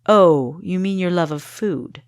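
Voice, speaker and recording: A woman's voice: on the low side, a little slow. A woman voicing a matter-of-fact male spy guide in an audiobook.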